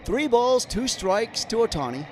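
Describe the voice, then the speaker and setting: A slightly hoarse man doing live play-by-play commentary of a baseball game, calling each pitch as it happens. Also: sing-song, measured pace, a little hiss or hum. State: slightly hoarse, clearing throat.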